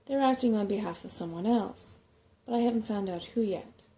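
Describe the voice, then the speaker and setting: A woman's voice: mid-range voice, moderate pace, mellow, natural voice. Woman voicing a fussy, self-important little male rat. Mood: conspiratorial, offended. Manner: portentous, fussy, easily affronted. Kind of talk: soft-spoken storybook character reading.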